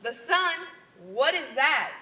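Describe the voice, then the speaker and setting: A woman acting a shrill-high, scornful alien. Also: expressive, takes it slowly, bright, forceful tone, exaggerated voice. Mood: haughty. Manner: haughty, exclamatory. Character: scornful, indignant, orthodox female alien. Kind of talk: amateur one-act stage play. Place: theater stage. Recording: echoey theater stage.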